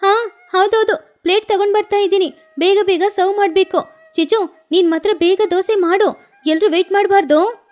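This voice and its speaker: A woman voicing a helpful, hurried female bird friend, eager and reassuring, in a children's story. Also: very high-pitched, fairly even intonation, darkish tone, soft background music, voice pushed up.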